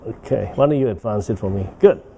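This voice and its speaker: Man in his 60s, medical lecture, rambling, soft mellow tone. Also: on the low side, quick pace, gravelly. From Hong Kong. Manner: rambling, with frequent "uh" and "okay?" tags. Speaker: guest lecturer. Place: banquet hall.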